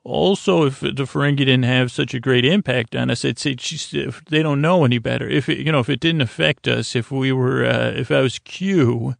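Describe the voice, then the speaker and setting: A man hosting a sleep podcast, improvising a meandering, halting monologue that repeats itself and trails off, in a creaky, gravelly voice. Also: medium pitch, no room sound, studio quality. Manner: meandering, halting, repetitive, trails off mid-sentence. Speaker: podcast host.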